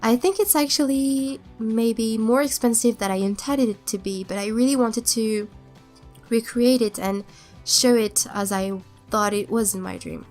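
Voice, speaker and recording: A woman's voice: a little high, measured pace, darkish tone, faint music behind. Stuffy-nosed young woman rambling. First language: not English. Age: young adult. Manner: rambling, hesitant, many restarts. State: stuffed up with a cold. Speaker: YouTuber narrating a speed build. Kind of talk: casual voiceover chat.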